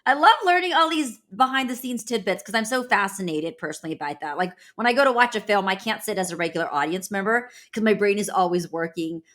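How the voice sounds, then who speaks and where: A woman's voice: mid-range voice, quick pace, hardly any pauses, fairly bright tone. Effusive woman interviewer. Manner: effusive, appreciative. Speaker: interview host. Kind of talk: conversational interviewing.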